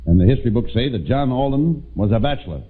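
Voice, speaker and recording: A man's voice: medium pitch, animated, fast, natural voice. A man playing a blustering, bossy, lovesick colonial soldier in theatrical 1940s radio comedy style. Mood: exasperated, urgent. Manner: theatrical, emphatic, exasperated. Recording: tinny, hissy old-time radio recording.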